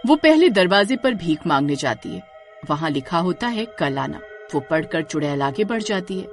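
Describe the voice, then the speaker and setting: Animated woman storyteller over soft music. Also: lowish voice, moderate pace. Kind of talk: scripted horror story narration.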